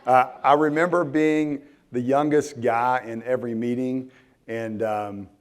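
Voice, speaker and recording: A hoarse man in his forties speaks to a room at a conference. His voice is tired and worn out. He talks loosely, with frequent ums, uhs and restarts. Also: medium pitch, fairly even intonation, measured pace. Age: 40s. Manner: casual, many ums and restarts. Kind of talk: live conference talk. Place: conference hall.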